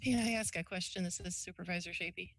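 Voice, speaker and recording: A woman's voice: fairly low pitch, quick pace, fairly bright tone, slight background noise. A woman who serves as a county board supervisor, putting questions at a public meeting.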